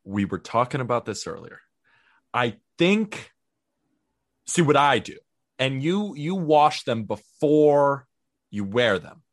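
A man co-hosting a baseball podcast, talking in an upbeat, energetic, positive way. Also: medium pitch, takes it slowly, pauses often, no room sound, studio quality. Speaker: podcast co-host. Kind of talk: casual sports podcast chat.

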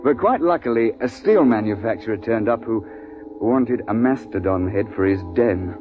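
A man's voice: on the low side, lively intonation, moderate pace, music in the background, close to an everyday voice. An actor playing an urbane, unflappable British big-game guide who wryly tells his tale in a 1950s radio drama. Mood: wry, matter-of-fact. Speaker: lead actor and narrator. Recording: muffled 1950s radio recording.

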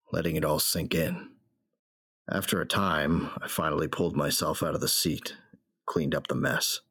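A man acting a grieving, bitter bounty hunter who narrates his memories in a reflective, rough-spoken way for an audio drama. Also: low voice, a bit bright, dry recording, clean studio sound, heavily acted.

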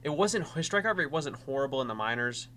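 A man's voice: medium pitch, lively intonation, brisk, bright, forceful tone, slight background noise. Stuffy-voiced man hosting a podcast. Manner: casual, with frequent restarts and filler. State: stuffy from a cold. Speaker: podcast host. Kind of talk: solo fantasy baseball podcast.

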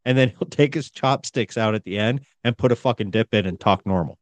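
A man's voice: lowish voice, brisk, no room sound. A man co-hosting a podcast in casual, rambling chat with some swearing. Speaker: podcast co-host.

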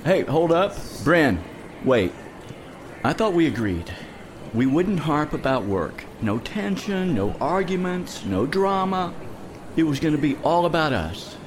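Animated everyman husband, bantering. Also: a little high, a little slow, noisy background. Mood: pleading. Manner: bantering, defensive. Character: everyman corporate husband, defensive, joking, in a strained marriage. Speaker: actor in an audio drama. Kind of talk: naturalistic audio drama acting.

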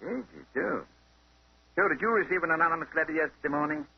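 Gruff older american police inspector, talks fast, husky, dull vintage radio sound. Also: male voice, fairly high pitch. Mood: brisk, businesslike. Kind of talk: 1930s radio acting. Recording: dull old 1930s radio recording.

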